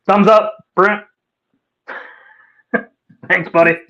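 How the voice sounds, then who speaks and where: A man appearing as a guest on a livestream, loud and upbeat, greeting the chat. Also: high voice, steady tone, drawn-out words. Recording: dull, slightly lo-fi sound.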